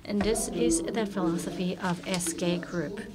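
A woman's voice: lowish voice, fairly even intonation, over a noisy crowd. A woman working as a conference interpreter, rendering a keynote speech with frequent hesitations and restarts. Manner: halting, many fillers and restarts. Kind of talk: consecutive interpretation.

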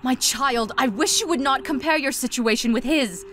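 A woman voicing a guarded motherly goat monster with formal, measured speech in an amateur comic dub. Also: sits high, natural voice. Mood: stiff, guarded. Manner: formal, measured, avoids contractions.

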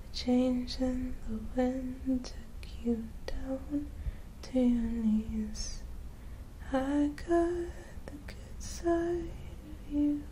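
A woman ASMR performer singing softly and sleepily in a breathy, gentle voice. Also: high voice, extremely slow, slight background noise, rough recording. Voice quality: soft, breathy.

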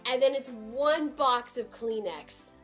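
A woman's voice: a little high, slow pace, a bit bright, faint music behind. An American woman in her twenties telling a personal story to camera at home, dramatic and emphatic. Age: 20s. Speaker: storytime vlogger. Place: small room at home.

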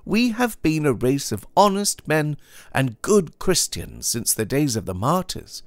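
A man performs a pious young Puritan husband in a classic storybook. He is anxious and hesitant but earnest, with archaic formal speech. The delivery is slow, with very lively swings in pitch. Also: no echo. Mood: earnest protest. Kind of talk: dramatised audiobook reading of a classic tale.

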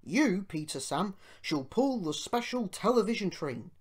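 A woman's voice: mid-range voice, sing-song, a little slow, slightly rough, pitched above the performer's own voice. A woman voicing a grave, decisive male railway controller giving orders in a children's storybook. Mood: commanding.

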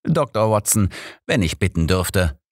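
Guy reading as old detective man in an audiobook, composed, formal, pitched up a bit from narration, very dry sound. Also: big pitch swings, dry recording. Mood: probing. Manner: formal, measured questioning. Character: elderly male detective, courteous, probing, composed. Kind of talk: audiobook character dialogue.

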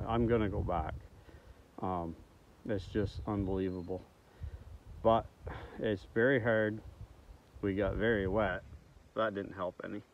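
Man vlogging on a tinny phone. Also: fairly low pitch. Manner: plain, rambling, frequent ums. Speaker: outdoors vlogger. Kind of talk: casual vlog to camera. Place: outdoors in a forest. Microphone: phone held at arm's length. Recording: tinny phone audio with faint outdoor noise.

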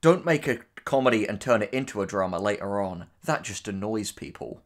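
A man's voice: on the low side, expressive, brisk. A man co-hosting a casual commentary, reading aloud and remarking in a dry, sardonic way. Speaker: co-host and writer.

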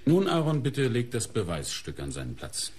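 An actor playing a shrewd, courteous police inspector in a German radio crime drama, speaking in a deliberate, formal, dramatic way. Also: male voice, medium pitch, wildly swooping pitch, a little mellow, slightly rough, exaggerated voice. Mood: confident, deliberate.

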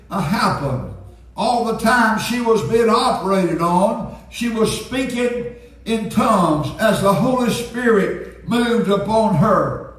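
A man preaching an earnest Pentecostal sermon as a pastor. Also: sits high. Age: 70s. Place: church sanctuary, indoors at a pulpit. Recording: very echoey church sanctuary, distant sound.

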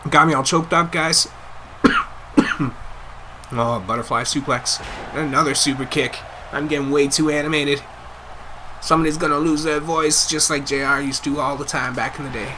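A young man giving loud, excitable live commentary over a wrestling video game, his voice getting hoarse. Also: on the high side, normal speed, long pauses, a little hiss or hum. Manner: hyped, shouting play-by-play calls. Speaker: gameplay commentator.

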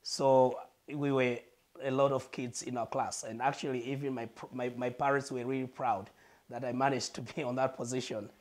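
A Malawian man, a guest on a lapel mic in a living room, earnestly telling his life story and pausing to search for words. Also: medium pitch, little pitch movement, darkish tone.

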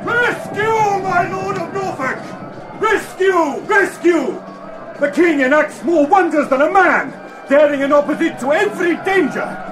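Loyal desperate knight, classical stage drama, urgent cries, clear music and crowd clamour behind. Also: male voice, extremely high pitch, animated, measured pace, rough recording. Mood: urgent alarm. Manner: urgent, shouted declamation. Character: desperate loyal knight crying for rescue in battle. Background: loud music and clamouring crowd.

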